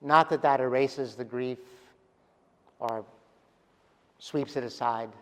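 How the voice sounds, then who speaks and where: Male pastor preaching slow and gentle, smooth resonant voice, long echo of a big church. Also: medium pitch. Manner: gentle, reflective preaching with pauses and soft trailing endings. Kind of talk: sermon. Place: large church sanctuary.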